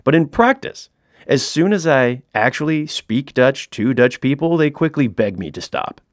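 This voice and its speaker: A man's voice: mid-range voice, expressive, normal speed, no echo, studio quality. A middle-aged man reading his own comic audiobook in a wry, self-mocking storytelling manner.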